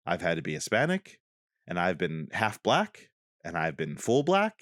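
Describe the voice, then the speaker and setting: Young male podcast co-host, expressive pitch, dry close mic, rambling. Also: moderate pace, no echo. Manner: animated, rambling with restarts. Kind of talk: casual chat.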